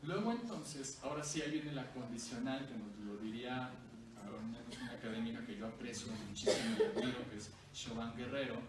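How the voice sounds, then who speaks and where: A man's voice: mid-range voice, fairly quick. Man, discursive academic presenter, distant noisy recording. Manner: discursive, with frequent tag questions. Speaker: presenter at a book launch. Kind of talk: academic talk. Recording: echoey distant room recording with noise.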